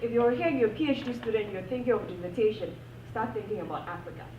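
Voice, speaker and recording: A woman's voice: a little high, fast, slight background noise. A woman from Ghana, an academic giving earnest, impassioned panel remarks and repeating phrases for emphasis. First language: not English. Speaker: panel speaker, PhD academic. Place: university event room. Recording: echoey room, distant mic, dull sound.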